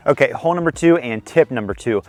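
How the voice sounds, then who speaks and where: A young man with a slightly hoarse voice hosts a casual disc golf tips vlog outdoors on a course, friendly and self-deprecating as he explains. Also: mid-range voice, lively intonation, fast talker, darkish tone, dry recording. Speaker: disc golf video host.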